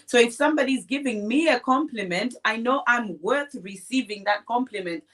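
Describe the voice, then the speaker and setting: A woman's voice: a little high, normal speed, a bit bright. A woman co-hosting a conversation, talking reflectively about faith and self-worth with frequent fillers. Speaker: podcast co-host. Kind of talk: conversational faith-based advice talk.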